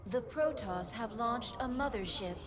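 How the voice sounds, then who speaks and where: An actress voicing a devoted alien advisor to a swarm queen in a video game, solemn, reverent and measured. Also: a little high, lightly acted. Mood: solemn warning. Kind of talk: dramatic video game acting.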